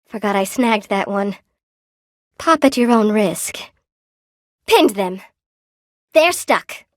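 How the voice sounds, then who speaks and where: A woman voicing a friendly, gentle spider-woman seamstress in a video game, sweet and polite, eager to please and a little lonely. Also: medium pitch, animated, slow, no room sound, studio quality. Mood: flustered, apologetic. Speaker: game character, shopkeeper. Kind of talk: video game voice acting, short character barks.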